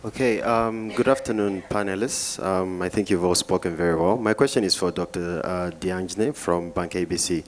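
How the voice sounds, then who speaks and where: A man's voice: low-pitched, moderate pace, hardly any pauses, slight background noise. A man from Zambia in the audience, asking a panelist a question politely and hesitantly, with many fillers.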